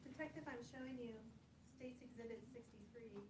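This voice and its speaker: A soft-spoken woman prosecutor questioning a witness in a courtroom, measured and methodical. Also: high-pitched, steady tone, rough recording. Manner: measured, formal, methodical questions with some fillers.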